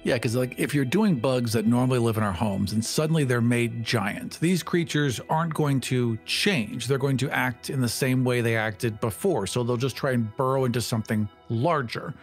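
A man's voice: mid-range voice, normal speed, few pauses. A man talking casually as a podcast co-host. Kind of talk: casual chat. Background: background music.

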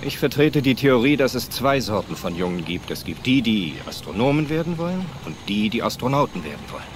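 A man acting a weary, regretful paleontologist in a film dub, speaking in a halting, reflective, kindly way. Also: medium pitch, sing-song, lightly acted. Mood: regretful, pensive. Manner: halting, pensive delivery. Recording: noisy outdoor film soundtrack.

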